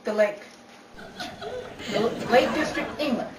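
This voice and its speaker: A woman playing a flustered, teasing 1950s Hollywood woman in an old time radio comedy, often halting and repeating herself. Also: a little high, natural voice. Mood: bemused. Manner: halting, repeats words in disbelief. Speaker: parade announcer. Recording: echoey, distant, noisy crowd recording.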